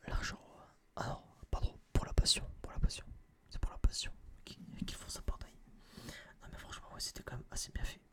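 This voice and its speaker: A young man whispering a casual, slangy, rambling review in breathy ASMR style, close to a binaural microphone. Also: fairly quick. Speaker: ASMR video creator.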